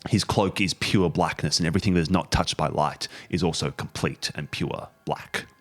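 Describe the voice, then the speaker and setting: A man's voice: low voice, steady tone, moderate pace. A man narrating a tabletop roleplaying game as its game master. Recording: faint music behind. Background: faint background music.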